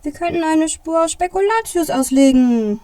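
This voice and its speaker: A woman playing a slow-witted, cookie-loving male Christmas elf in a children's audio play, naive and plodding. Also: high-pitched, expressive, mellow, no echo. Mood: eager.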